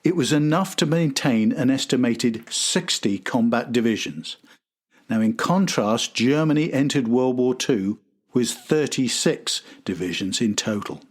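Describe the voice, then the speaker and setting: A man's voice: medium pitch, animated, a little slow, husky. A man hosting and narrating a history documentary from a script. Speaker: host and narrator.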